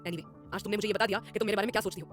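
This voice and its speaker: A woman narrator voicing a hot-tempered, hurt young man, shouting in anger and later brooding quietly to himself in a Hindi audio story. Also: mid-range voice, steady tone, very fast, faint music behind, lightly acted. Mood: furious.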